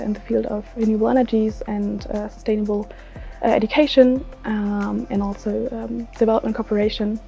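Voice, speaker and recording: A young woman from Germany talks as a student, hesitant and full of restarts. Her voice is gravelly, mellow and fairly flat. The sound is a little dull, with faint music behind her. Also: medium pitch. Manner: hesitant, many fillers and restarts. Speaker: master's student. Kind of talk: unscripted testimonial.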